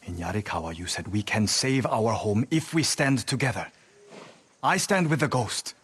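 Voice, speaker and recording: An actor playing a loyal young commoner in a video game, earnest, anxious and deferential, pleading yet brave. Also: male voice, on the low side, animated, normal speed, fairly bright tone, slightly rough, heavily acted. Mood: earnest resolve. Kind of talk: dramatic video game acting.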